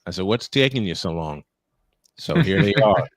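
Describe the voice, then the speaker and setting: A man's voice: deep voice, lively intonation, normal speed, dry recording. A man doing live play-by-play baseball commentary, breaking into folksy, joking asides with listeners. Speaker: play-by-play baseball announcer and stream host. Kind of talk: live sports commentary mixed with casual chat.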